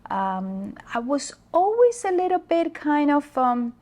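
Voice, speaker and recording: A woman from the Dominican Republic, a native Spanish speaker, talking as a guest about leadership in a slow, thoughtful way with pauses and fillers. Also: high voice, very animated, a little mellow. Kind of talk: interview conversation.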